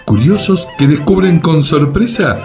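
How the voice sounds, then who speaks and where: A man's voice: fairly high pitch, expressive, a little slow, darkish tone. A man reading a scripted announcement as a radio announcer. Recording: prominent music under the voice.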